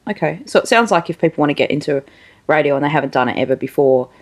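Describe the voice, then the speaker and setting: A woman's voice: low voice, animated, racing. A woman, a host in a casual interview, often giving brief listening responses. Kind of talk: casual chat.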